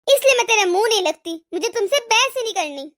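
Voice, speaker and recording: An imperious older mother-in-law from an animated family story , played by a woman, with an extremely high voice. She is sharp-tongued and emphatic, and fiercely devoted to her daughter. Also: quick pace, heavily acted. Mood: angry, scolding. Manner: scolding, emphatic rhetorical questions. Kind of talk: animated family drama dialogue.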